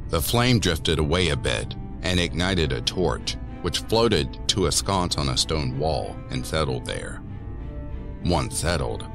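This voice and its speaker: A man narrating a scripted horror story as the storyteller of an audio drama. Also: extremely low pitch. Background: music under the voice.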